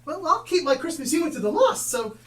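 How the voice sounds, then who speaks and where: Woman playing a lively, high-voiced cheerful young nephew. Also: fairly quick. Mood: pleading, friendly. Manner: earnest, exclamatory, good-humoured. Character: cheerful, warm-hearted young nephew of a miser. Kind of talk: theatrical stage acting. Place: theatre stage, live performance. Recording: distant live stage recording with noise.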